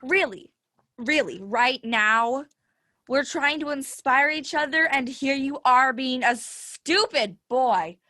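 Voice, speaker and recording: A sassy, indignant girl, played by a young actress, high and bright, taking her time. She snaps back at anyone who crosses her. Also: slow pace, full character voice. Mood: scornful.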